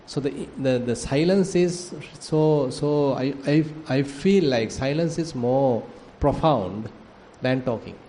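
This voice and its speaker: A man with a Sri Lankan accent, a monk teaching in a gentle, hesitant way with soft laughs. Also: mid-range voice, takes it slowly. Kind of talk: dharma discussion. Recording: light background noise.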